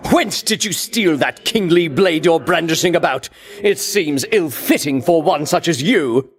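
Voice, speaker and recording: A vainglorious champion of ancient Greece, easily outraged and utterly pompous. His high-pitched voice swoops dramatically through haughty, old-fashioned declarations, in the grand theatrical manner of a video game rival. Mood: haughty, defiant. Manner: bombastic, grandiloquent, archaic diction, haughty. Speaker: video game character voice actor.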